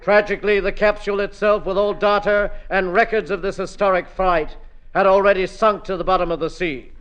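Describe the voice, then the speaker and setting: An actor playing a grandiose, declamatory American news correspondent reporting dramatically in a radio comedy. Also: male voice, high voice, flat delivery, fairly quick, a bit bright, clear, resonant tone. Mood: grandiose excitement. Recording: dull, band-limited radio sound, music.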